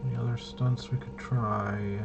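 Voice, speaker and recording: A man thinking aloud through a tabletop game walkthrough, casually muttering to himself in a halting way and trailing off. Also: mid-range voice, flat delivery, creaky, heavy background noise. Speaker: solo tabletop RPG player demonstrating gameplay.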